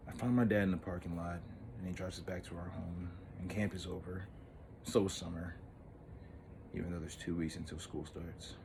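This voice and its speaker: A very deep-voiced man as an earnest lovestruck boy. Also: dark tone, a little hiss or hum. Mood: hurt, bitter. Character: earnest, lovestruck thirteen-year-old boy confessing wistfully. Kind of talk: dramatic monologue, intimate storytelling.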